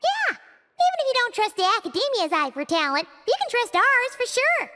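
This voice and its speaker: A woman voicing a small, bubbly, childlike fairy companion with a squeaky, excitable delivery in an anime game dub. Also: moderate pace, very noisy. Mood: excited, cheerful. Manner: excitable, speaks of self in third person. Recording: music playing behind the voice.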